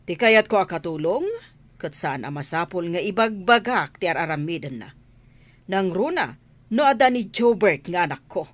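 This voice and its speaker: A woman's voice: lowish voice, expressive, moderate pace, no echo. A middle-aged woman playing a haughty, bossy rich mistress in an Ilocano-accented radio drama, with an imperious manner.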